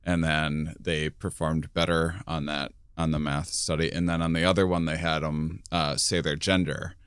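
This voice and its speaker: A man's voice: rumbling low, level tone, normal speed, fairly bright tone, clear, resonant tone, no room sound, clean studio sound. A man hosting a podcast in a casual interview, rambling a bit and restarting with many fillers. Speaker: podcast host.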